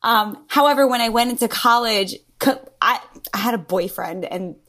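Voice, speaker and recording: A woman from New York with a New York accent, a fitness entrepreneur chatting as a podcast guest, laughing often between quick asides. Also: a little high, a bit bright. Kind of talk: casual interview talk.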